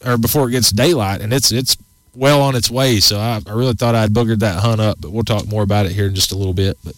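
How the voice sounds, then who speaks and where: A man's voice: medium pitch, no room sound. A man in his forties hosting a casual outdoors radio show, rambling and folksy with many restarts. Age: 40s.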